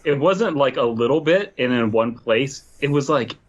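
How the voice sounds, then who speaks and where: A man's voice: medium pitch, animated, normal speed. A man co-hosting a podcast in casual, joking group banter on a dull-sounding mic. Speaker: podcast co-host.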